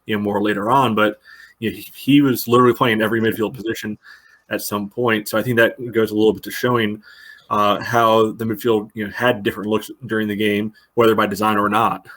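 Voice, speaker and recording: A man co-hosting a podcast, analyzing soccer casually and haltingly, with frequent verbal padding. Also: on the low side, level tone, a bit bright. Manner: halting, peppered with "you know". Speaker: podcast co-host.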